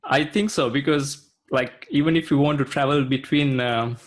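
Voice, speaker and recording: A man's voice: mid-range voice, fairly even intonation, moderate pace. A man from Sri Lanka speaking as a guest in a casual video-call interview, hesitant and full of fillers. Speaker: guest, IT consultant.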